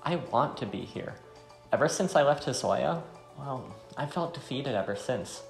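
Man reading as a creaky-voiced grieving woman archer. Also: mid-range voice, expressive, moderate pace. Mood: determined. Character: grieving, determined young woman archer from war-torn homeland. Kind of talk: visual novel dialogue read aloud. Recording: roomy sound, faint music.

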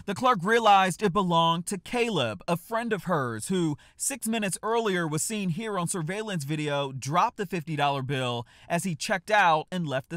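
A man in his thirties presenting a scripted news report from a home office, with a brisk, emphatic delivery. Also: high voice, fairly bright tone. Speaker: news host.

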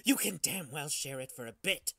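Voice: medium pitch, lively intonation, normal speed, creaky, over a noisy crowd, pitched above the performer's own voice. A podcast co-host voicing a clingy, indignant living weapons system in a story reading, offended and stubborn. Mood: indignant, snarling.

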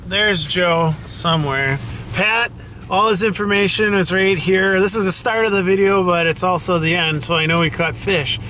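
A man chatting casually and excitedly as he films an outdoor fishing vlog on a camera microphone. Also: high voice, moderate pace. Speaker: fishing vlogger behind the camera. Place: outdoors on a snowy waterfront pier. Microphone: handheld camera microphone. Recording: noisy outdoor camera audio.

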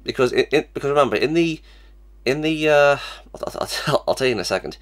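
A man's voice: mid-range voice, animated, quick pace. A young man with a cold, sniffly, hosting a casual reaction vlog and stammering through many restarts from a small room at his webcam. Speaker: reaction channel host. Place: small cluttered room indoors.

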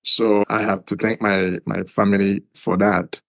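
A middle-aged man with a Haitian accent, answering interview questions in a halting way with many repeated words. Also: fairly low pitch, level tone, creaky, no echo. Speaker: guest.

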